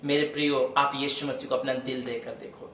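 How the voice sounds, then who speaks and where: A man's voice: medium pitch, little pitch movement, brisk, a bit bright, echoey room. A man preaching a devotional sermon, fervently urging his beloved listeners and then praying aloud for healing. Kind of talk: sermon with worship singing and prayer.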